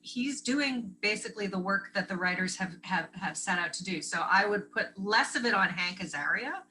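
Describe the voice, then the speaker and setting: A woman's voice: medium pitch, animated, normal speed, sharp tone. A woman co-hosting a podcast, arguing her point firmly in casual talk. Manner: firm, argumentative, uses fillers. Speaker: podcast co-host. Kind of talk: casual chat.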